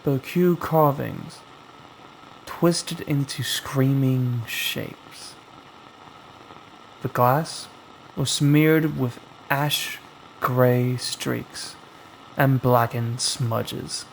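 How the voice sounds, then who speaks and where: Male horror narrator, scripted and dramatic, extremely slow with suspenseful gaps, faint noise behind the voice. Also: medium pitch, steady tone, vocal fry, low-quality recording. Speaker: horror storyteller.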